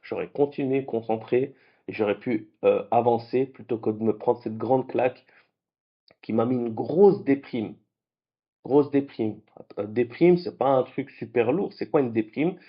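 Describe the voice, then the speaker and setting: A man whose first languages are French and Arabic, hosting a late-night trading vlog in a lively, conversational way. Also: medium pitch, unhurried, breathy edge, lo-fi sound. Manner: animated, conversational, with restarts. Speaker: trading channel host.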